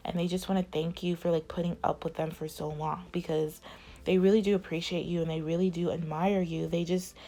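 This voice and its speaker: A woman's voice: on the low side, level tone, a little fast, warm tone. Rambling woman reading tarot, fading voice. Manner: rambling, many filler likes. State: losing her voice. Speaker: tarot reader. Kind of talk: casual tarot reading monologue.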